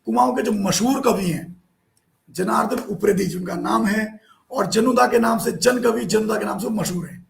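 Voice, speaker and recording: A hoarse man hosting a live online poetry meet from a remote spot with a poor network, often apologizing and chasing guests about their cameras. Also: high-pitched, moderate pace, slight background noise. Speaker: live stream host.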